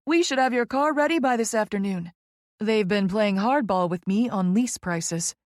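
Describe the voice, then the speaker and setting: Woman voicing a kindly, unhurried office manager. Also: medium pitch, no echo, studio quality, lightly acted. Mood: friendly, businesslike. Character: kindly, businesslike office woman who calls people honey. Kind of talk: audiobook character voice.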